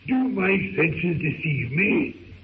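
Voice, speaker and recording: An actor playing a prim, literal-minded elderly scholar, speaking with precise, formal courtesy in old radio drama style. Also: male voice, a little high, sing-song, slow pace. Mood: prim, amazed. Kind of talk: 1930s radio drama acting. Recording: muffled, hissy old radio recording.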